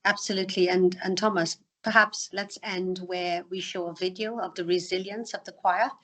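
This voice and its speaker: A woman's voice: lowish voice, fairly even intonation, moderate pace, bright, forceful tone. A woman hosting a podcast interview, speaking with frequent um fillers. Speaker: podcast host, charity trustee. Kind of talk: conversational interview.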